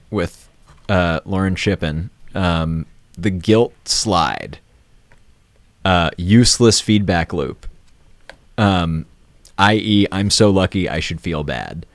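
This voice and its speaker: A man with a low voice chats as host of an informal live stream. His delivery is unscripted and hesitant, as he works out his thoughts while speaking. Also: slow pace, long pauses, no room sound. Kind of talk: casual unscripted chat.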